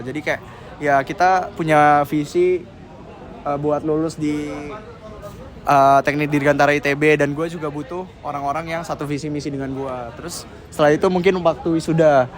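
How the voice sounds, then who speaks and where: Young man, a bit high-pitched, loose slangy answers with lots of fillers, outdoor interview. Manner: rambling, slangy, heavy with fillers. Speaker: senior member being interviewed.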